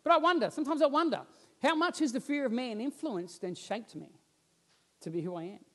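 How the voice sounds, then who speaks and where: A man, preaching a sermon on a church stage and telling stories with lively gestures while he walks about. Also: extremely high pitch, fairly quick. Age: 40s. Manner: animated storytelling, roams the stage gesturing. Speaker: pastor. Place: church stage with band instruments, indoors.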